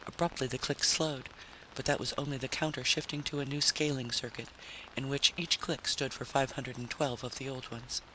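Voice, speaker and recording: A man's voice: mid-range voice, level tone, moderate pace, bright, forceful tone, rough recording. A man narrating a story in a dramatic, scripted style. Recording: faint background noise.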